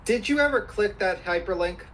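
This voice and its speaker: A man questioning a witness as an attorney, formal and persistent, repeating questions deliberately. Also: high voice, animated, fairly bright tone, slight background noise. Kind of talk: formal deposition cross-questioning.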